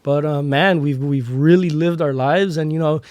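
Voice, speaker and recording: A young man with a hoarse, worn-out voice, chatting loosely on a podcast. He rambles, full of fillers and slang, sitting a touch high in pitch. The sound is dry and close. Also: normal speed, a little mellow, no room sound. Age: 20s. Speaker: podcast host. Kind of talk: casual chat.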